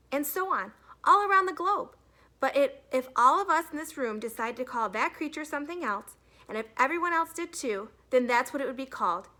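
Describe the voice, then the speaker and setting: Woman as a stern schoolteacher, bright and emphatic. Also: sits high, voice pushed up. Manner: emphatic, lecturing. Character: stern, brisk woman schoolteacher, firm and authoritative.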